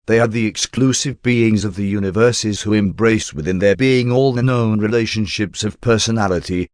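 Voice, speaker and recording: A man reading a spiritual text as scripted narration in a measured, formal and solemn manner. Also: lowish voice, no room sound, clean studio sound. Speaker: audiobook narrator.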